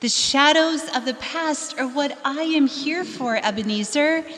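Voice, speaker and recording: A woman playing a gentle but firm motherly spirit in a radio play, with stately delivery. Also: high voice, a little slow. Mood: gentle, solemn. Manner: stately, maternal. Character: gentle, firm motherly female ghost of Christmas past. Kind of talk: stage radio play acting. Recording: long echoing reverb on voice.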